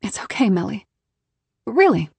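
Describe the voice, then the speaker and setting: A narrator, a woman, plays a motherly, reassuring woman in an audiobook story. Her voice is husky with a creaky edge, gentle and solicitous in manner. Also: mid-range voice, big pitch swings, moderate pace, no room sound, heavily acted. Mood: welcoming. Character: caring, reassuring woman host, motherly toward guest.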